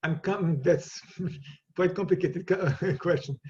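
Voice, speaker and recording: Serbian accented guy in an interview, slightly high voice, lots of restarts, muffled audio. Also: a little mellow. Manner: halting, many repeated words and restarts, laughs easily. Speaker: guest. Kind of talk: casual interview conversation.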